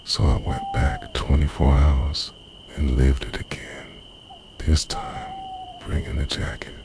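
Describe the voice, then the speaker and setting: Guy doing a devoted obsessive boyfriend asmr, super low voice, whispery and intimate, swings up and down a lot. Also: wildly swooping pitch, creaky, noisy background, exaggerated voice. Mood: tender confessing. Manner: hushed, slow, intimate, often slipping into a whisper. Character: obsessive, devoted boyfriend who secretly time-travels, confessing to lover. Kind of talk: ASMR roleplay.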